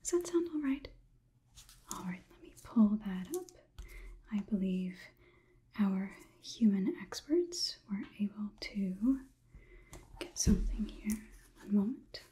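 Casting: woman playing an officious female AI overlord for ASMR roleplay; very breathy, soft whisper, formal and condescending. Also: medium pitch, animated, exaggerated voice. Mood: politely officious. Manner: measured, formal, softly officious. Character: polite, condescending female robot overlord examining a human.

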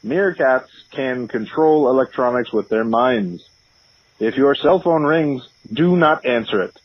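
Husky deadpan caller played by a man, lo-fi line. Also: medium pitch, measured pace, natural voice. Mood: deadpan, insistent. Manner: deadpan, announcer-like recitation. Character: stubborn, deadpan male prank caller reciting absurd animal facts. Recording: dull, lo-fi phone-in line.

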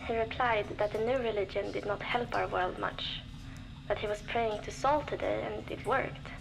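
An actress playing a young woman survivor, reflective and determined, recording a measured audio diary for a video game. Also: mid-range voice, fairly even intonation, gravelly, slight background noise, close to an everyday voice, low-quality recording. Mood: calm, hopeful. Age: young adult.

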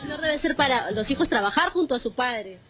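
High-voiced Peruvian woman reporter. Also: expressive, brisk, a crowd in the background. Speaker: field reporter. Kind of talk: live news reporting. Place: outdoors, outside TV studio building. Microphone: handheld microphone.

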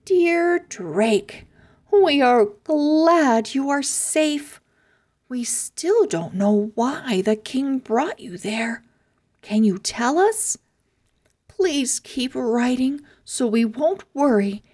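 A caring mother from a children's storybook, put on by a woman. She speaks gently and carefully, as in a letter to her son. Her pitch is raised and swings in a lively way. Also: very slow, heavily acted. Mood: tender.

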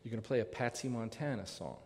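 A man's voice: mid-range voice, quick pace, a little mellow. Older American man in a reverberant hall. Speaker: radio show host. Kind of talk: live stage introduction and interview. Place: large theater stage. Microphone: vintage stand microphone. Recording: reverberant theater hall, faint noise.

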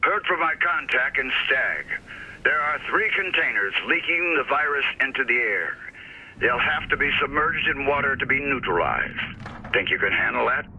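Businesslike mayor on the radio, very sharp voice, muffled line, game briefing. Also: male voice, animated, moderate pace. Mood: urgent briefing. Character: businesslike male mayor giving a mission briefing. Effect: radio or phone filter. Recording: dull radio line with faint rumble.

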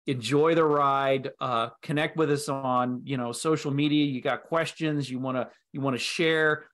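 A man's voice: mid-range voice, steady tone, normal speed, fairly bright tone. A middle-aged man speaking casually as a creative guest in a video call interview. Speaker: guest, audio drama creator. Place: indoor room on a video call.

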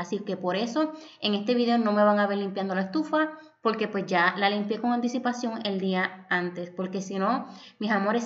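A woman's voice: medium pitch, fairly bright tone, muffled recording. A young woman from Puerto Rico with a Puerto Rican Spanish accent, narrating a home cleaning vlog in an affectionate, chatty voice-over that calls the viewers "my loves". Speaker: home vlogger.

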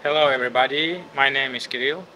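A man's voice: medium pitch, fairly even intonation, quick pace. A young man with a Ukrainian accent, an acrobat introducing himself to camera. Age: young adult. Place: theatre stage. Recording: distant mic under loud music.

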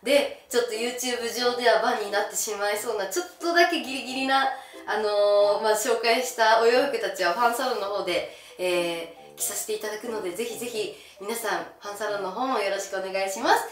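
A woman's voice: fairly high pitch, fast talker, few pauses. A young woman chatting casually to camera as she presents, with an exclamatory, bashful manner. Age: young adult. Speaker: gravure idol presenting outfits. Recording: roomy echo from camera distance. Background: faint music.

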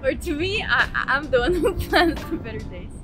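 A woman's voice: high-pitched, expressive, moderate pace, over music. A woman in her twenties from Sudan, chatting playfully in a casual vlog Q&A. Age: 20s. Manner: chatty, playful, thinks aloud with fillers. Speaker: vlogger.

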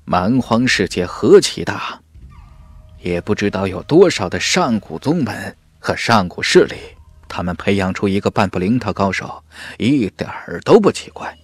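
A man's voice: lowish voice, sing-song, normal speed, husky, no echo. A man voicing a genial, well-informed young male warrior in an audiobook, explaining things affably. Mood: informative.

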